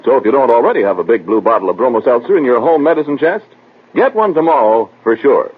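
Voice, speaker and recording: A man's voice: mid-range voice, expressive, quick pace, slight background noise. A man announcing in the style of a 1940s radio commercial and program host. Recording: tinny, narrow-band old radio recording.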